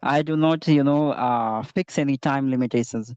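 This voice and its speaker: A young Indian man speaks with an earnest, polite manner as a guest, often repeating his points. His voice is mellow and dark, and the recording is dry with a slightly dull, lo-fi sound from a video call. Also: mid-range voice, moderate pace, clear, resonant tone, no room sound. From Bihar, India. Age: young adult. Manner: earnest, repeats himself, calls the host ma'am. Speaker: interview guest. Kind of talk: casual conversation.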